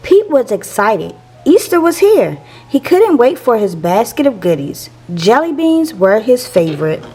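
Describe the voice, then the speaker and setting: A woman reading a children's picture book aloud in an expressive, storytelling way for young listeners. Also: fairly high pitch, measured pace, soft background music. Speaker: teacher reading picture books for a channel.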